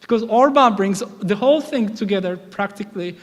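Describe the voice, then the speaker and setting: A man's voice: high voice, fairly quick. A man giving a thoughtful public talk, often restarting his phrases and filling in with "you know". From United Kingdom. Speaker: academic speaker. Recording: reverberant hall.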